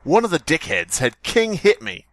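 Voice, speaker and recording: A man's voice: a little high, wildly swooping pitch, normal speed, fairly bright tone. A man co-hosting a comedy podcast, reading a story aloud in a jokey, sweary way and breaking off to mock the text. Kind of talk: comic read-aloud with casual riffing.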